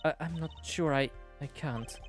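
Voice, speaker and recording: A man voicing a shy foreign woman witness who speaks softly and haltingly. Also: fairly high pitch, soft background music. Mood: hesitant. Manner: soft, halting, apologetic.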